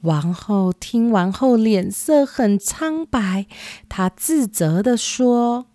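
Slow, expressive woman storyteller. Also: a little high, dry recording, clean studio sound. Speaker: storyteller, narrator. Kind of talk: scripted children's story narration.

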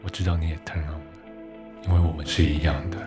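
A man playing a seductive, controlling narrator who speaks in measured, insinuating monologue. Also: a bass voice, little pitch movement, over loud music. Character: seductive, knowing adult male narrator, provocative, controlling. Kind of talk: audio drama monologue.

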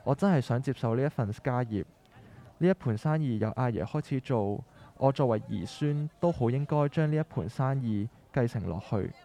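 An earnest young son in a radio drama, acted by a man, speaks Hong Kong Cantonese with a mellow, relaxed tone. He is determined and persuasive, pitched at an ordinary male height. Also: normal speed, lightly acted. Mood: earnest, hesitant. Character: earnest, determined young adult son of fish-market family. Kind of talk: scripted radio drama dialogue.

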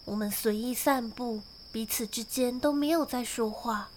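A woman playing a young lord's daughter in a radio drama, speaking with gentle, clearly articulated storytelling. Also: a little high, normal speed, slight background noise, lightly acted. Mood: calm, storytelling. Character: young daughter of a castle lord, narrating her tale. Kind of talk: Mandarin radio drama acting.

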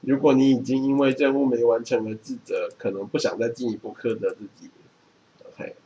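A man's voice: medium pitch, fairly even intonation, brisk, dark tone, a little hiss or hum. A man, reading from a book and explaining it in a casual chat. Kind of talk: reading a book aloud and chatting about it.

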